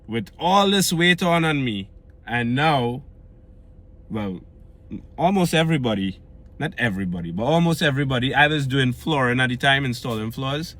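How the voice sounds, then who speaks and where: A man's voice: fairly high pitch, sharp tone. A man from Trinidad and Tobago with a Trinidadian accent, talking earnestly in a casual vlog about losing weight and keeping it off, often checking in with "right?". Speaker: fitness vlogger.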